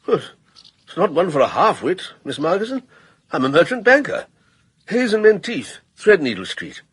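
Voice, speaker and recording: An actor playing a persuasive middle-aged banker in a radio drama, also narrating the story. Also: male voice, high voice, sing-song, normal speed, husky, no room sound, full character voice. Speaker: narrator and character.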